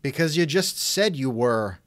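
A man's voice: mid-range voice, animated, a little slow, no room sound, studio quality. A man hosting a conversational interview, asking questions in a wry, skeptical manner. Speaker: interviewer, host. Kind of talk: reenacted text-chat interview, conversational.

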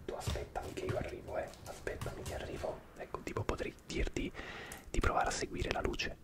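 A man whispering throughout as he hosts an ASMR live stream, chatting and rambling to viewers by name. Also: fairly quick.

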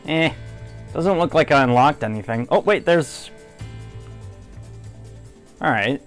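Low-key male gamer over music. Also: expressive, slow pace. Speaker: gamer doing a playthrough. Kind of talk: casual light gameplay commentary. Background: game music.

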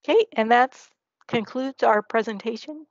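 A woman's voice: on the high side, normal speed, dry recording. A woman presenter giving a slide presentation and answering questions over a video call. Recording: slightly dull online meeting audio.

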